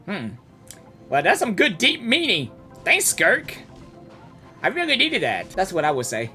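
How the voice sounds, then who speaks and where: A game reaction streamer giving casual commentary, halting and repeating words while thinking aloud. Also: mid-range voice, huge pitch swings, sharp tone, faint music behind.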